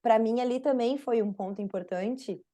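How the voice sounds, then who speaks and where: A woman's voice: fairly high pitch, moderate pace. A Brazilian woman with a Brazilian Portuguese accent, a podcast guest telling a story in casual conversation, full of fillers. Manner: warm storytelling with frequent fillers.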